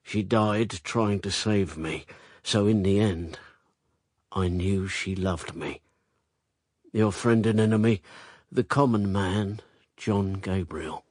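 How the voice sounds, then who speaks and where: A man's voice: fairly low pitch, takes it slowly, a little mellow, dry recording, clean studio sound. An actor playing a coarse, bitter man with a blunt, sardonic way of talking in a radio drama. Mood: bitter, confessional. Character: coarse, bitter male rival and lover, self-styled common man.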